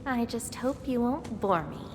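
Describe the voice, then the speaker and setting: A woman's voice: on the high side, expressive, unhurried, background noise. A woman playing a fierce, proud young swordfighter in a game cutscene, talking bluntly and in a domineering way. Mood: cocky. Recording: reverberant hall over music.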